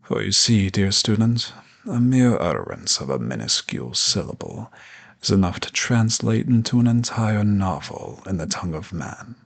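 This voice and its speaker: A very low, husky dragon, played by a man, lecturing as a haughty old professor in an audio roleplay. His delivery is pompous and ornately formal, swinging widely in pitch with condescending grandeur. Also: sing-song, normal speed, full character voice. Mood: haughty, mocking. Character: haughty ancient dragon professor, male, condescending yet flirtatious. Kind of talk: scripted audio roleplay monologue addressed to the listener.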